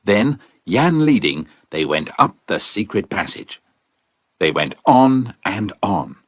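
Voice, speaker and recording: A man's voice: lowish voice, sing-song, slow pace, no echo. A man reading scripted narration for a children's adventure audiobook.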